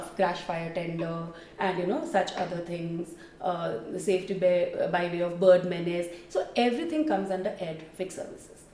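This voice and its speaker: A woman's voice: lowish voice, darkish tone, slight background noise. Woman, middle-aged, Indian accent, explanatory, conversational, distant mic, echoey room. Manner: explanatory, with frequent "you know" asides. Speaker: interview guest, former military air traffic controller. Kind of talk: conversational interview answers. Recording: roomy echo, distant microphone.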